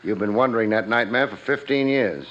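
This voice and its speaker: An actor playing a tough, wry private detective in a 1950s radio drama, narrating and questioning in a clipped, insinuating way. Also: male voice, fairly low pitch, a little fast, a bit bright, lightly acted. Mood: probing, accusing. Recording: muffled old radio recording.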